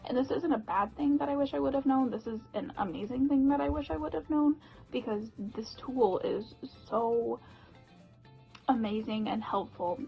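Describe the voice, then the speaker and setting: A woman's voice: fairly high pitch, gravelly, lo-fi sound. A young woman talking casually to her viewers in a personal vlog, chatty, with frequent laughs and "um" fillers. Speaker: vlogger.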